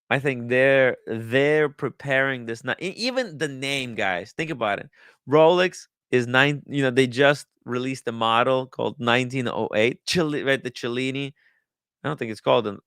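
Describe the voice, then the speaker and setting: A man hosting a livestream in casual talk, haltingly thinking aloud as he goes. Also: mid-range voice, fairly bright tone, smooth, dry recording, studio quality. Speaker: livestream host. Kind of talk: casual livestream chat, reading viewer comments and riffing.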